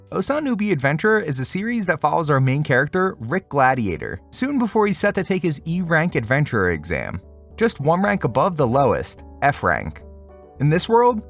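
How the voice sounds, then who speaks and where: Male video essay host, lively intonation, sardonic casual asides over scripted narration, light music underneath. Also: fairly high pitch, vocal fry. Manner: wry, sarcastic asides.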